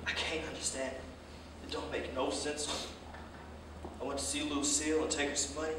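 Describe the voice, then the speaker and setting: A man's voice: a little high, level tone, normal speed, natural voice. An actor playing a reflective, plain-spoken working man in a staged play reading. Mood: baffled sorrow. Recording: echoey room, distant mic, clear noise.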